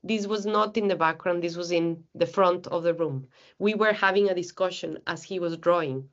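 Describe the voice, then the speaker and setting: A woman's voice: fairly low pitch, moderate pace, muffled recording. A woman from Ecuador giving an earnest, reflective academic seminar talk, often restarting her phrases.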